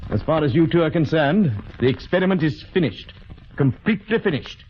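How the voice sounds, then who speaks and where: Man acting a coldly calculating doctor, lively intonation, old radio. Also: fairly high pitch, natural voice. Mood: coldly menacing. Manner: polite, measured, hesitant pauses, clinical. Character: calm, cold, calculating villainous male doctor, smugly scientific. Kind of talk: 1940s radio drama acting. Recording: muffled, noisy vintage radio recording.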